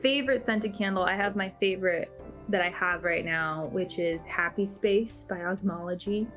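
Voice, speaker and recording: A woman's voice: mid-range voice, normal speed, fairly bright tone. A woman with a mid-range voice answers questions casually. She talks as a cast member, with faint music behind. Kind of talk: casual Q&A chat. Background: background music.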